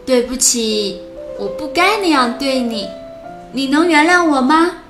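A woman's voice: high voice, animated, slow, music in the background. A woman voicing a polite little male gnome, eager and earnest, in a children's story. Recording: roomy echo.

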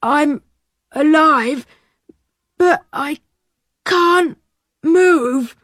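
Woman voicing a surly fat male peddler, slow and raised in pitch, dry close recording. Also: bright, forceful tone, no room sound, full character voice. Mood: weak. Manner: slow, gruff, hostile. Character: fat grumpy middle-aged male peddler.

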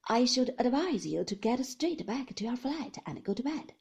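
A stern old magistrate, curt and commanding, his warnings rasped in a gravelly voice, put on by a woman narrator. Pitch rises and falls freely. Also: big pitch swings, normal speed, darkish tone, exaggerated voice. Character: stern older male magistrate.

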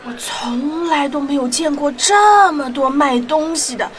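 Woman playing an excitable, high-pitched young boy. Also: measured pace. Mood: excited, amazed. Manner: exclamatory, wide-eyed. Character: earnest young boy, poor, hopeful, excitable. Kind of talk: children's radio play acting.

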